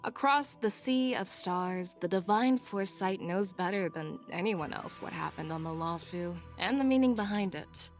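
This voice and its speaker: A woman's voice: on the low side, moderate pace, few pauses, close to an everyday voice. Woman acting a confident, shrewd woman general, music behind. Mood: serious. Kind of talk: video game voice acting. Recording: game dialogue over background music.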